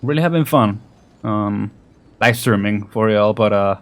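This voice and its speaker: A man's voice: lowish voice, a little slow. A man hosting a gaming video, chatting casually and often chuckling between remarks as he plays. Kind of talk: casual let's play commentary.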